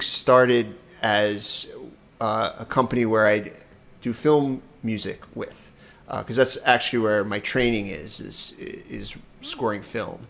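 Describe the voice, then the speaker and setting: A man co-hosting a music stream, answering in a hesitant, rambling and self-deprecating way. His voice is gravelly with plenty of vocal fry, at an ordinary male pitch. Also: a bit bright. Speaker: co-host, sound technician. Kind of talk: casual chat.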